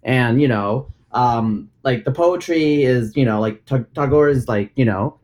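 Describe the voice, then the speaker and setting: A man's voice: mid-range voice, a little slow, darkish tone. Male host, casual, loose and rambling delivery, restarts often. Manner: rambling, many likes and restarts. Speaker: podcast host. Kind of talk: casual discussion.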